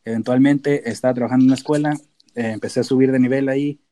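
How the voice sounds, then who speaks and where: A man with a northern Mexican accent speaks in a mellow, relaxed tone at a middling pitch. He explains things casually and informally as a podcast guest, dropping in the odd English term. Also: fast talker. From Tijuana, Mexico. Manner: informal, sprinkles in English terms. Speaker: podcast guest, data analyst and researcher.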